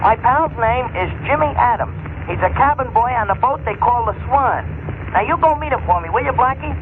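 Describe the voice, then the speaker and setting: A man's voice: very high-pitched, expressive, a little fast. An actor playing an eager, naive, slangy male sidekick in a 1940s radio drama. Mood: eager, pleading. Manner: naive, folksy slangy talk. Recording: tinny, slightly noisy 1940s radio recording.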